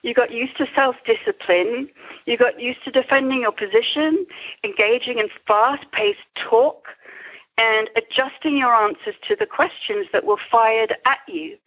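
A woman's voice: a little high, unhurried, highs cut off. A middle-aged woman telling stories as an interview guest, articulate and wry. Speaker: guest, creativity coach. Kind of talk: conversational storytelling in an interview.

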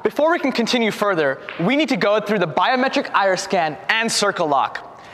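A man's voice: high-pitched, very animated, brisk, fairly bright tone. A man hosting a scripted guided tour, speaking in an upbeat, presenter-like way. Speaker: tour host.

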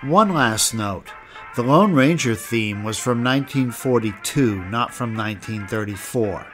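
A man's voice: medium pitch, animated, measured pace, over music. A man hosting a podcast, reading scripted narration and credits. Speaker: podcast host.

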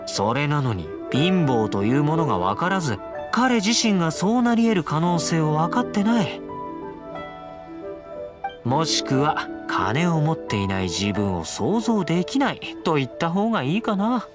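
A man playing a confident, teasing young fighter in an anime game, casual and smooth-talking. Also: a little high, big pitch swings, measured pace, over music. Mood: breezy, teasing. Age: young adult. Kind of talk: anime game character acting.

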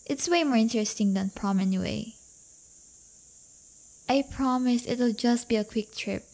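A woman's voice: fairly high pitch, a little slow, darkish tone. A woman playing a brash, playful teenage delinquent girlfriend in an intimate audio roleplay, with slangy, teasing, casual talk. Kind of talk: audio roleplay acting, intimate one-sided dialogue.

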